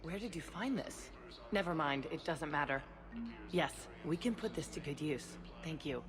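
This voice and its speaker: A woman playing a brisk medical supply official in a video game, polished, businesslike and expressive. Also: deep voice, crowd noise. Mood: surprised, pleased.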